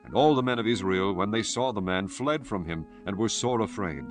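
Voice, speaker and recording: A man giving a solemn, measured dramatized narration of scripture as a storyteller. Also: fairly low pitch, soft background music.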